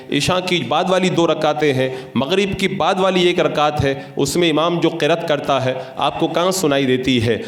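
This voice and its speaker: Echoey higher-pitched Indian male preacher. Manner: didactic, argumentative, rhetorical questions. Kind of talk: Friday sermon in a mosque. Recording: echoey, reverberant room sound.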